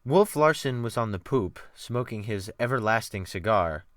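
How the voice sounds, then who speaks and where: A man narrating a literary audiobook in a theatrical style with deliberate phrasing, close to the microphone. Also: on the low side, expressive, no echo. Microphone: close USB desktop microphone.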